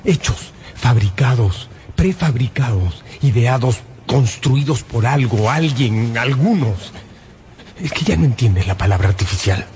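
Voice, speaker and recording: An actor playing an anxious, obsessive astronomer in a radio drama, insistent and emphatic, speaking in clipped, repeated phrases. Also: male voice, mid-range voice, expressive, measured pace, a little mellow, slight background noise, lightly acted. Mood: grave, worried. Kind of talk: Spanish-language radio drama acting.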